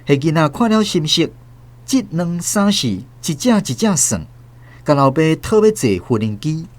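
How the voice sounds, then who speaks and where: A man with a Taiwanese accent narrates a story for radio. His voice sits a little high and swings widely in pitch, in a vivid storytelling style. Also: animated, normal speed.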